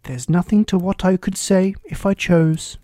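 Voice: medium pitch, expressive, normal speed, warm tone, no room sound, voice pushed up, close to an everyday voice. A reader voicing a pompous, moralizing and cloyingly agreeable Duchess, a rambling, self-pleased woman, in a storybook. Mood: pleased, self-important.

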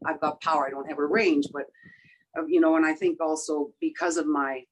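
Rough-voiced woman singer, halting. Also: low voice, steady tone, rough recording. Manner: halting, with many fillers and restarts. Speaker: singer being interviewed. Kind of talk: casual interview chat.

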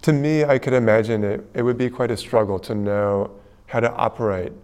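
A man's voice: medium pitch, fairly even intonation, fairly quick, darkish tone, smooth. A man interviewing a guest, asking long, elaborate questions in a musing way.

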